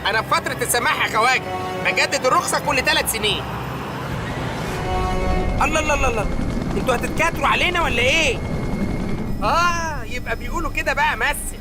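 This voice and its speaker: A man's voice: extremely high pitch, fast talker, long pauses, over loud music. A man voicing a cocky, naive Egyptian pilot in a comic cartoon, bantering in streetwise slang. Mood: excited, bantering.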